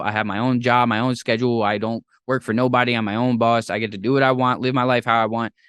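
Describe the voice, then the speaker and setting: A young man hosting a podcast in casual chat, talking in an animated, rambling way with playful jumps into a high voice. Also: smooth, dry recording, studio quality. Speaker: podcast host.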